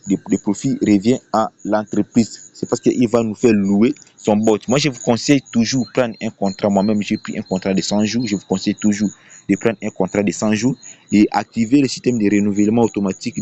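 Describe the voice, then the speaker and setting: A man's voice: fairly low pitch, dark tone. A man presenting an unscripted screen-share tutorial, explaining emphatically and often repeating his phrases. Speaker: YouTube tutorial presenter promoting a trading platform. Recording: dull, lo-fi voice recording.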